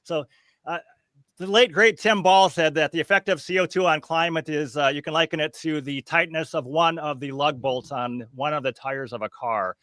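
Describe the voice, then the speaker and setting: A man holds forth as a podcast guest, bright and a touch high-pitched, his voice sitting dry and close with no room around it. He is emphatic and scoffing, and he circles back to hammer the same points. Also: fairly even intonation, moderate pace, dry recording. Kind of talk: casual panel chat.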